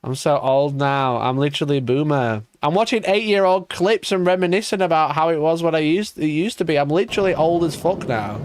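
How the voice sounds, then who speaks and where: A man's voice: fairly high pitch, fairly quick, few pauses. A man in his thirties chatting casually on a live stream, reacting to old clips, swearing and laughing at himself. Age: 30s. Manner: swearing, laughing, self-mocking.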